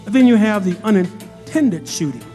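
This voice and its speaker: A bitterly sarcastic narrator played by a man delivers a scripted monologue in an audio drama. The voice is fairly high, with music playing behind it. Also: very animated, moderate pace, dark tone. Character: bitterly sarcastic male narrator and social critic.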